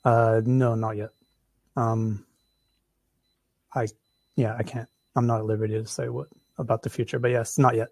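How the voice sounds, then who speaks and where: A man's voice: mid-range voice, flat delivery, moderate pace, long pauses, a little mellow, no room sound. A man with an American accent answering audience questions after a conference talk, with lots of ums and restarts. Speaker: conference presenter.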